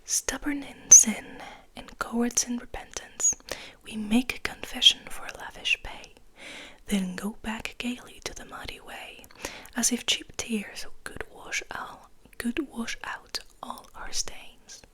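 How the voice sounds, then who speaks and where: A woman whispering in a breathy voice as she reads poetry for ASMR, in slow, measured phrases with pauses. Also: a little high, bright, forceful tone, low-quality recording. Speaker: ASMR host reading poetry.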